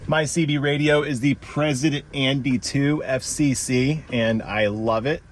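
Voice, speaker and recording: A man's voice: medium pitch, steady tone, a little slow, a little hiss or hum. A man narrating a casual unboxing and review as the video's host, explaining things in a plain-spoken, instructional way.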